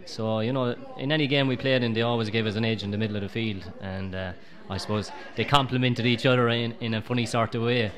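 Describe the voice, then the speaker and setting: A man's voice: mid-range voice, fairly even intonation, fairly bright tone. A middle-aged Irish man, a former goalkeeper, talking easily with plenty of "you know" in a pub. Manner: quick, easygoing, lots of "you know". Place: busy pub, indoors.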